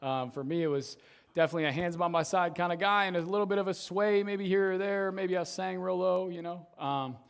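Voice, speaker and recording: A young adult man, a youth pastor, preaching a casual sermon to a church congregation, his voice slightly raspy from shouting, often ending sentences with "right?". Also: sits high, fairly quick. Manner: conversational, tags sentences with "right?". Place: church service, in front of a congregation.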